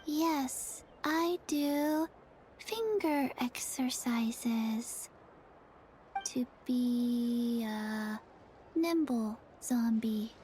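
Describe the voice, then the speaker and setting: A small, high zombie girl from a video game. She speaks extremely slowly in soft, deadpan fragments, sleepy and forgetful, with long gaps between phrases. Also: a little hiss or hum, low-quality recording. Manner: deadpan, halting, short phrases with long pauses. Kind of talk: animated video game voice acting.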